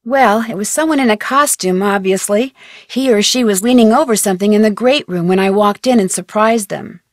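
A woman's voice: medium pitch, fairly quick, dry recording, clean studio sound, natural voice. A woman voicing a curious young amateur detective in a game, with an inquisitive, breezy, slightly cheeky way of asking questions. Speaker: game protagonist. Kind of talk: game voice lines.

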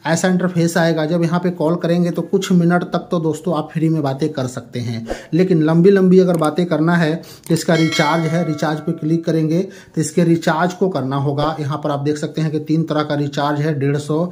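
Higher-pitched man explaining a phone app. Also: darkish tone. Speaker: tech tutorial host. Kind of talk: app tutorial voice-over.

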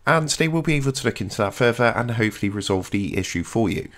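A man's voice: medium pitch, expressive, fast, fairly bright tone. A man narrates an instructional screen tutorial in a mid-range voice. He delivers it as a host guiding the listener through each step in order.

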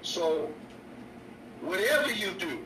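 An older man, a bishop preaching a sermon on his radio show, slow and repetitive with stammered restarts. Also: high-pitched, very animated. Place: indoor room. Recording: echoey distant mic with noise.

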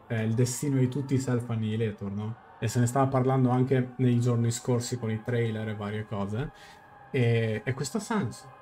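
A man's voice: medium pitch, warm tone, a little hiss or hum. A young man giving casual live game commentary, thinking aloud as he explains and translates. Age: young adult. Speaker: game streamer. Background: game music.

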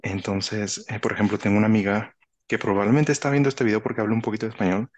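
A man in his thirties with a Mexican accent and a somewhat low voice talks in a casual, explanatory way. He is reflective and halting, often repeating a phrase before carrying on. He speaks into a headset on a video call. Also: fairly even intonation, dull audio. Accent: Mexican Spanish. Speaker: author, interview guest. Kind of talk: casual conversational explaining with anecdotes. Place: indoor room, video call.

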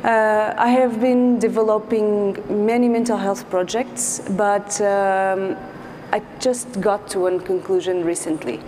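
A woman in her thirties from Portugal with a Portuguese accent, giving an earnest conference talk as a psychologist, pausing often with fillers. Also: mid-range voice, fairly even intonation, warm tone. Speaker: clinical psychologist speaker. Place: large dark stage. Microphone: lapel mic. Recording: large hall with faint noise.

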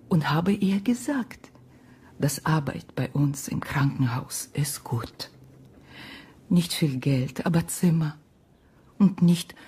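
A sorrowful Ukrainian immigrant woman in a radio play. Her voice is very breathy and husky, low and unhurried. She speaks halting, simple, accented German with gentle weariness. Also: full character voice. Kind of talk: naturalistic radio drama acting.

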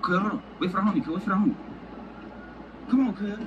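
A man's voice: high-pitched, quick pace, dull audio, lightly acted. A man playing an anxious street gang member urging his crew along in online game roleplay. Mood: urgent. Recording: noisy game stream audio.

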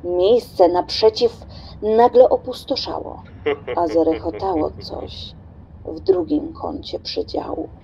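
A woman's voice: on the low side, animated, slow, gravelly, dull audio, crowd noise. A woman reading scripted literary narration for an audio drama.

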